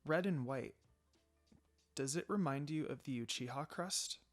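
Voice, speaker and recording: A man's voice: fairly high pitch, measured pace, natural voice. A man voicing a playful, teasing young woman in an anime fanfiction story. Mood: soft, musing. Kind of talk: dramatized fanfiction storybook reading. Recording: music behind the voice.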